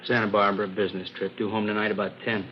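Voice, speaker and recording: An actor playing a businesslike police investigator, giving a clipped, matter-of-fact report in a radio drama. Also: male voice, on the low side, flat delivery, fast talker, lightly acted. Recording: dull, faintly hissy old radio recording.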